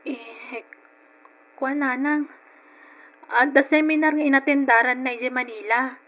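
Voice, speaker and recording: High-pitched, pleading young Ilocano woman. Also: steady tone, unhurried. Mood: hesitant, evasive. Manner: emotional, pleading. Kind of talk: old-style radio drama acting. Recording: dull lo-fi old radio sound.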